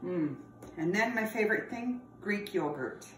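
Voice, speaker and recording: A woman's voice: mid-range voice, lively intonation, a little slow. A woman in her thirties hosting a cooking class for children in a home kitchen. She explains each step as she works and calls her viewers friends. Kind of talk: cooking demonstration for kids. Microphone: camera across the counter, no visible mic. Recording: roomy, distant camera audio with background noise.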